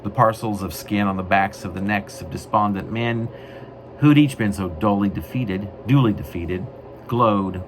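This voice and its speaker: A man reading his own poetry in a wry, mock-grandiose way, with deliberate, literary phrasing. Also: lowish voice. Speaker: poet reading own work. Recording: noisy amateur recording.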